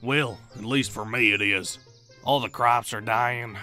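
A man playing a folksy, excitable, good-natured farmer in a fantasy audio drama, full of homely exclamations. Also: mid-range voice, measured pace, soft background music. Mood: worried, chatty.

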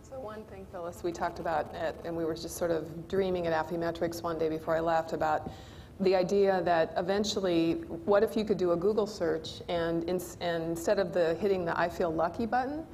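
A woman's voice: fairly low pitch, brisk, a little mellow, slight background noise. A woman in her forties with an American accent, explaining informally with everyday examples in a conversational panel discussion. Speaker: panelist, company executive. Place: indoor panel stage in a function room. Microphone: lapel.

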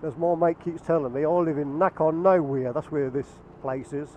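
A man's voice: on the high side, moderate pace. A man, chatting casually and dryly about the roads as he rides a motorbike. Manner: chatty, dry, self-mocking asides. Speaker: motovlogger. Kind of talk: casual running commentary while riding. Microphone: helmet camera mic. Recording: muffled helmet-cam audio with road noise.

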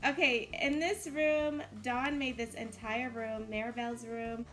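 A woman's voice: fairly high pitch, level tone, a little slow, a bit bright, poor audio. A woman giving casual, encouraging commentary as host of a game build tour.

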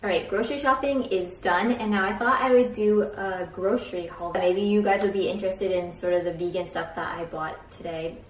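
A woman's voice: mid-range voice, moderate pace, hardly any pauses, slight background noise, poor audio. A young woman talking to a handheld camera in a casual vlog, chatty and enthusiastic with frequent fillers. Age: young adult. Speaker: vlogger. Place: small home kitchen, indoors. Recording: music bed underneath. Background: background music.

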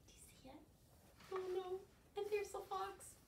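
An older woman leading an interactive storytime for young children as a children's librarian, speaking in an animated way with exaggerated questions. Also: very high-pitched, a little slow. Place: carpeted living room at home. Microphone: camera across the room. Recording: roomy, distant mic with some background noise.

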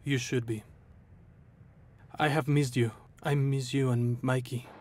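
A man voicing an estranged husband pleading softly, with a middle-pitched voice. Faint noise sits behind him. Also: fairly even intonation, unhurried, lightly acted.

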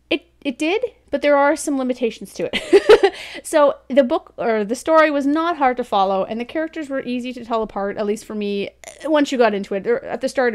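A woman's voice: on the high side, darkish tone. A woman in her thirties giving a chatty, casual book review from a room at home, laughing often between thoughts. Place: home room with bookshelves, indoors. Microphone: studio mic beside her on desk.

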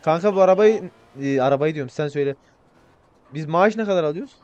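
A slightly high-voiced man rattles along at a quick clip as he plays an online roleplay game. He is brash and casual, full of slang and profanity. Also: a little mellow, no room sound, lo-fi sound. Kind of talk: casual chat while gaming.

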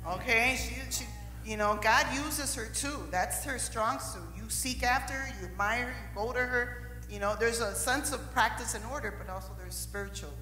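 Pastor's wife, forties, bright and forceful, emphatic exhorting tone, big roomy hall with long reverb. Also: female voice, fairly high pitch, moderate pace, soft background music. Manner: emphatic, exhorting, repeating lines. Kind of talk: church testimony to the congregation. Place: church stage, large room. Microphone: handheld mic.

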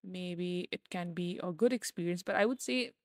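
A woman's voice: fairly low pitch, moderate pace, no echo, studio quality. A woman in her twenties hosting a casual solo podcast, rambling with lots of fillers and growing livelier as she talks. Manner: rambling, filler-heavy, gets brighter and louder as she goes. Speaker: podcast host.

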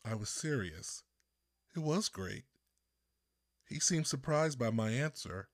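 Slow, measured male storyteller, dry close sound. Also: medium pitch, animated, no room sound. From Texas, United States. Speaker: author reading own audiobook. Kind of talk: scripted first-person narration.

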